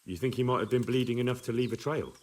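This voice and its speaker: An actor voicing a young medieval soldier in a video game, husky, earnest and plain-spoken, with a stubborn streak. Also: male voice, mid-range voice, fast, a little mellow, natural voice.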